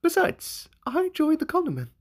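A man's voice: very high voice, huge pitch swings, moderate pace, heavily acted. A man voicing a doting, theatrical owl demon prince and father in an amateur cartoon comic dub. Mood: doting, instructive.

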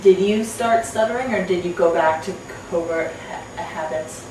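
A woman's voice: fairly low pitch, moderate pace, darkish tone. A young woman guest host with a stutter, chatting casually. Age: young adult. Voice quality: stutters, repeating sounds and words. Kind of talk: casual chat. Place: small indoor living room. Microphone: far camera. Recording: distant mic, echoey room, faint hiss.

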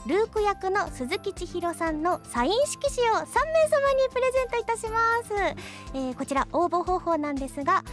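Very high-pitched woman hosting radio. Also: big pitch swings, moderate pace, hardly any pauses, soft background music. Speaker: radio show host. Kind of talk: radio hosting, reading mail and announcements.

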